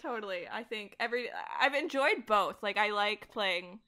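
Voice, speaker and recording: A woman's voice: medium pitch, animated, moderate pace, sharp tone. A woman chatting casually and enthusiastically as a guest on a podcast. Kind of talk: casual chat.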